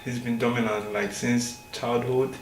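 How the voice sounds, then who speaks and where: A man's voice: mid-range voice, level tone, a little slow. Young Ghanaian man, echoey noisy room. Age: young adult. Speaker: student athlete interviewee. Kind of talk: casual, informal talk about family. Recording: echoey room with clear noise.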